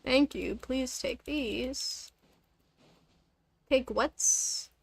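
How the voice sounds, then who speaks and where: A woman's voice: sits high, very slow, creaky, slightly rough, dry recording. A woman chatting casually on a gaming livestream, rambling along with the odd swear word.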